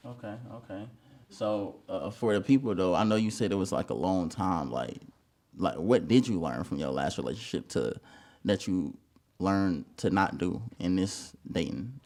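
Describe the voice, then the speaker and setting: A man's voice: low voice, expressive, moderate pace, a little mellow. A man hosting a casual dating-show follow-up interview, asking questions in a laid-back, slangy way. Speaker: host.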